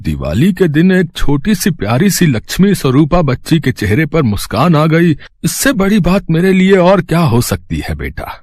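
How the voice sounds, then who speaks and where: A man voicing a kindly, jovial, wealthy old gentleman in a storybook tale, with an indulgent, drawn-out delivery. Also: a little high, wildly swooping pitch, no echo, pitched above the performer's own voice. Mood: tender.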